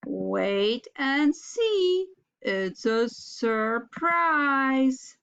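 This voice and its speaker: A woman voicing a calm, unhurried male panda from a storybook, in drawn-out, terse, measured phrases. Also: sits high, gravelly, smooth, dull audio, full character voice. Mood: calm, teasing.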